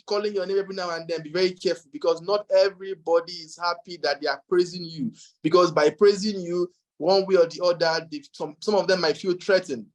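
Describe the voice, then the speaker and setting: A man on an online panel giving earnest, repetitive career advice, originally from Nigeria. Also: on the high side.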